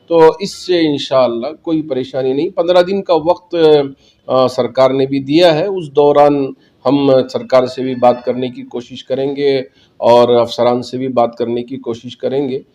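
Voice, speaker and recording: Male cleric, dark soft-edged tone, measured formal delivery, public appeal. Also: mid-range voice. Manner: measured, formal, Arabic-laced religious Urdu phrasing. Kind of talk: spoken public statement to community.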